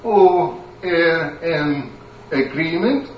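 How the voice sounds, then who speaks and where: A sheepish, hesitant man stammers out excuses, as a narrator puts him on. The voice is lifted above the narrator's own and kept quiet. It sounds distant and roomy. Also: fairly high pitch, expressive, very slow, highs cut off, voice pushed up. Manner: hesitant, meek. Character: sheepish caught-out grown man.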